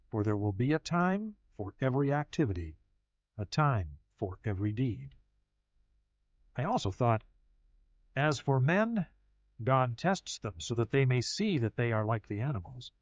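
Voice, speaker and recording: A man narrating a dramatized scripture audiobook in a solemn, measured manner, with deliberate pauses. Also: medium pitch, no echo. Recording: slightly dull audio with music behind. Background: music.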